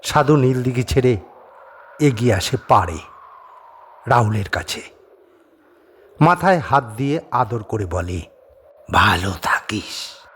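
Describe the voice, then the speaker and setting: Expressive man narrating a horror story. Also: medium pitch, breathy edge. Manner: suspenseful, theatrical phrasing with pauses. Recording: faint background noise and ambience.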